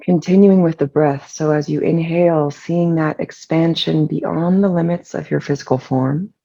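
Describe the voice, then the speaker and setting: Gravelly, mellow meditation guide with vocal fry. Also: medium pitch, steady tone, dull audio. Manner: soothing, unhurried with long pauses.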